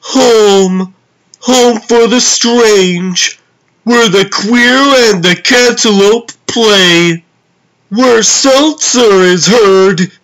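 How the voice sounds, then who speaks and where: A man's voice: sits high, drawn-out words, fairly bright tone, heavily acted, rough recording. A man voicing a gleefully zany clownish super-villain in a comic dub, theatrical, exclamatory and sing-song.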